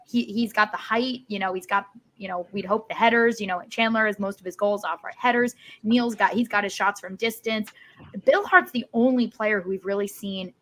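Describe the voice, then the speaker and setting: Bright, emphatic woman talking soccer. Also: mid-range voice. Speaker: sports podcast host. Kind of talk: casual match analysis.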